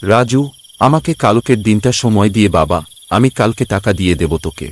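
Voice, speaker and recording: Man acting a low-voiced, devoted labourer father. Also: animated, brisk, slight background noise, close to an everyday voice. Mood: gentle, hopeful. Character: hardworking, self-sacrificing poor labourer father, devoted and humble. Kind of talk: Bengali cartoon story voice acting.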